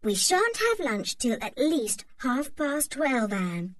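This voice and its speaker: A woman playing a gentle, kindly mother. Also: high-pitched, sing-song, slow, pitched above the performer's own voice, full character voice. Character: kindly calm mother of three.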